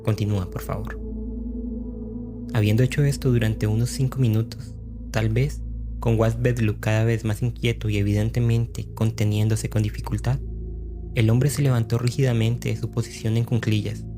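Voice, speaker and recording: Male narrator, lower register, dramatic horror audiobook reading, clear music bed underneath. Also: level tone, normal speed.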